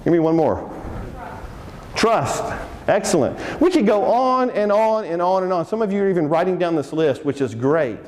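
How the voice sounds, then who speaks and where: Texan man, Southern accent, seminar presenter, upbeat and jokey, slightly raised pitch. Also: animated, normal speed, a little mellow, long reverb tail. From Texas. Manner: upbeat, coaxing the audience, joking. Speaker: seminar speaker. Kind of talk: interactive business seminar talk.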